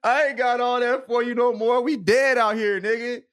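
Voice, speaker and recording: An American man in his thirties co-hosting a podcast with casual comic banter, animated, slangy and profane. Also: extremely high pitch, moderate pace, smooth. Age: 30s. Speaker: podcast co-host. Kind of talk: casual comedic banter and mock-dramatic reading.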